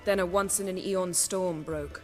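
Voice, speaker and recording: An actress playing a proud, dryly teasing sorceress in a fantasy video game, poised and imperious with formal phrasing. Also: female voice, on the low side, fairly even intonation, unhurried, darkish tone, soft background music, natural voice. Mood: earnest, determined. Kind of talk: video game cutscene dialogue.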